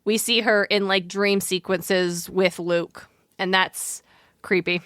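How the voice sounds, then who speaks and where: A woman's voice: mid-range voice, fairly even intonation, takes it slowly, a bit bright, clear, resonant tone, no room sound, studio quality. A woman co-hosting a podcast, chatting casually and informally about mythology as she thinks aloud. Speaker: podcast co-host.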